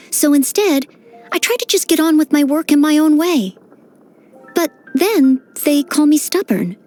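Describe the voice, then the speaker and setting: Game role: teenage maid knight. High, very animated delivery, courteous, earnest, eager to serve. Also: female voice. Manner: polite, formal, earnest, dutiful. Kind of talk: video game character voice lines. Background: background music.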